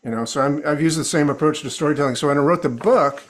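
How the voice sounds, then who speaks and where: A man's voice: a little high, fast talker. A man who coaches storytelling, a podcast guest explaining his approach in a reflective way with rhetorical questions. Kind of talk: casual interview chat.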